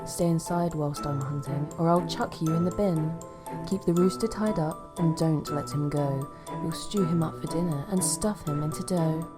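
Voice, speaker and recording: A woman's voice: low voice, moderate pace, over music, natural voice. A woman voicing a sly, bossy mother fox in a rhyming folk tale. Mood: stern, bossy. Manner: commanding, rhyming.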